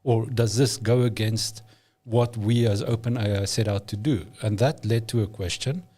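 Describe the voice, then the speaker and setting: Mellow-voiced man. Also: lowish voice, steady tone, normal speed, husky. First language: Afrikaans. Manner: emphatic, opinionated, frequent restarts. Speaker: podcast guest. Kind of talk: casual conversation.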